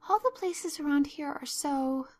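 A woman's voice: sits high, expressive, unhurried, pitched above the performer's own voice. A woman voicing an imaginative, earnest young girl in a storybook, talking in an effusive, theatrical, pleading way. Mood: fearful, dramatic. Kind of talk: storybook narration.

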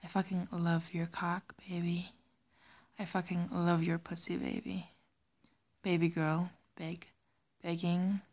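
A young German woman with a light German accent, chatting casually and giggly, often slipping into a soft whisper. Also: fairly low pitch, level tone, takes it slowly, pauses often. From Germany, raised in Singapore. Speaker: audio creator. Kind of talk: casual chat reading word lists.

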